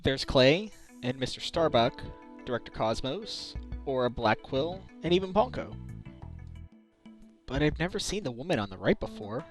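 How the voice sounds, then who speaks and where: The measured, kindly attorney hero of a visual novel game, acted by a man, questioning a witness over background music. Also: mid-range voice, lively intonation, lightly acted. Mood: curious. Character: earnest, caring male defence lawyer, calm and inquisitive.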